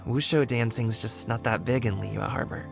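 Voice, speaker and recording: A man's voice: mid-range voice, moderate pace, vocal fry, over music, natural voice. An actor playing an easygoing young man, a wushu dancer working as a guard, friendly and candid in a video game. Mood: earnest. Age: young adult. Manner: friendly, laid-back and candid. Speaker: game character.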